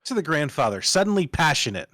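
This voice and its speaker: Casting: male script narrator, highish voice, very animated intonation. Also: quick pace, no echo, studio quality. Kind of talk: reading screenplay stage directions.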